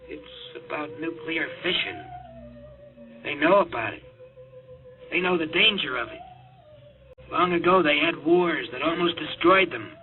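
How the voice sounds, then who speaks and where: An actor playing a desperate, haunted rocket test pilot in a mid-century radio drama, speaking in an urgent, halting, earnest way. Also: male voice, on the high side. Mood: dazed, grave. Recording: tinny narrow-band vintage radio broadcast, music.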